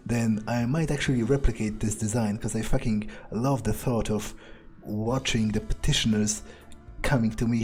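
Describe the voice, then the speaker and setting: Man playing a mellow, sardonic vampire. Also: mid-range voice, close to an everyday voice. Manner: hesitant, musing, sardonic, swearing. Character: cynical, amused, ruthless male vampire player character. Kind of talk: improvised in-character video game roleplay.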